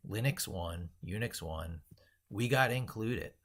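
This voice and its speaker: A man with a deep-pitched, husky voice full of creak hosts a casual tech livestream. He thinks aloud as he goes, rambling and repeating phrases for emphasis. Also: expressive, measured pace, fairly bright tone. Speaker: livestream host.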